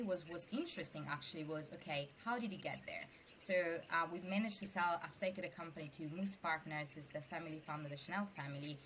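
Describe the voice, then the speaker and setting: A woman's voice: low-pitched, bright, forceful tone, slight background noise. A young adult woman from Sicily with an Italian accent, chatting animatedly as a guest in an interview. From Sicily, Italy. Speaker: venture capital investor, guest. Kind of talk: casual interview talk.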